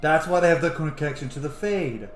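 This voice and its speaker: Lively man commenting on a game. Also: on the high side, brisk, roomy sound, faint music behind. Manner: sweary, reacting aloud. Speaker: video game streamer. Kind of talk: casual gameplay commentary.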